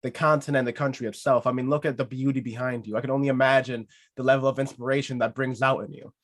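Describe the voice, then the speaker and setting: A man's voice: mid-range voice, fairly even intonation, fast talker. A man hosting a podcast interview, chatty and playful, often restarting his sentences. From United States. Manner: playful, rambling, many restarts. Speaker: podcast host. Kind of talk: casual interview chat.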